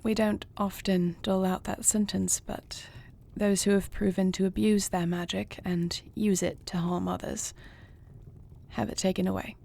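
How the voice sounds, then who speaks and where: A woman playing a gracious, resolute dragon queen in an intimate audio roleplay, speaking to her spouse with measured, formal phrasing and soft affection. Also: medium pitch, level tone, vocal fry. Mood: measured, stately. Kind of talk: intimate audio roleplay acting, addressing the listener.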